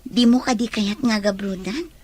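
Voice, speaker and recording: A woman acting as an apologetic but determined heroine with a slightly husky voice. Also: medium pitch, normal speed, a little mellow, lightly acted. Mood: gently insistent. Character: Annalyn, apologetic, determined woman leaving for work abroad. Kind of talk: Ilocano radio-style drama acting.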